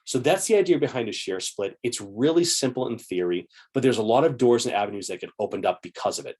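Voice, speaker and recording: A man's voice: medium pitch, lively intonation, fast, a little mellow, slightly rough. Upbeat chatty bearded man explaining. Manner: upbeat, chatty, gesturing with both hands. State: slightly hoarse, clearing throat. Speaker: investing channel host. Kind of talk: casual explainer to camera. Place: home room with shelves.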